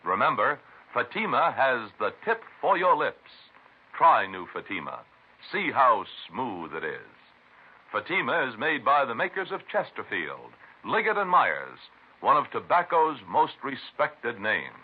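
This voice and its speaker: A man with a husky voice reading a 1950s radio advertisement. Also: mid-range voice, wildly swooping pitch, a little slow. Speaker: commercial announcer. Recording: tinny vintage radio recording.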